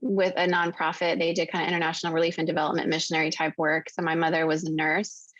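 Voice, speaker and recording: A woman's voice: deep voice, flat delivery, fast talker, a bit bright, no echo, muffled recording. A woman in her forties, born in the US and raised in Venezuela, speaking as an interview guest in an articulate, storytelling way. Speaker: guest, podcast host. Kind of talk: interview conversation.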